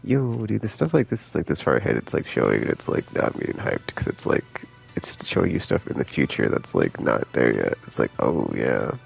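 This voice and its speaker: Very deep, gravelly male game streamer. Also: very animated, fairly quick, hardly any pauses, soft background music. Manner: laid-back slangy, thinking aloud. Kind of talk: casual rambling stream commentary. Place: indoors, live stream with game music behind.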